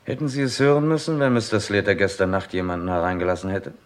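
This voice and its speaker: A man's voice: on the low side, steady tone, fast talker, natural voice. An actor playing a Scotland Yard chief in a radio crime drama, terse, businesslike and sceptical in his questioning. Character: brisk, probing Scotland Yard police chief.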